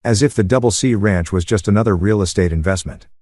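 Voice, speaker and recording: A man narrating a first-person story in a steady, measured way. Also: fairly low pitch, no room sound.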